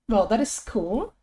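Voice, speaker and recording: A young woman talks her way through her work on a live stream, casual and chatty, thinking aloud. Her voice sits fairly high but sounds mellow and a little lower than usual today. Now and then she bursts into high, excited greetings. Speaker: streamer and artist. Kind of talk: casual live-stream chat while working.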